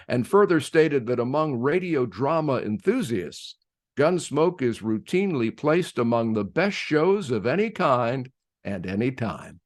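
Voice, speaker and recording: A man hosting, reading a scripted introduction to a radio play in a measured, emphatic announcer-like way. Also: mid-range voice.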